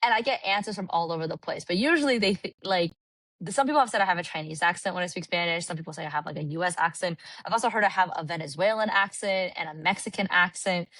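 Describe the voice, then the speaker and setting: A woman from California, a podcast guest, telling travel stories in an animated, casual way with lots of "like". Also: on the low side, quick pace, no echo. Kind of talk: casual chat. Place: Puerto Rico.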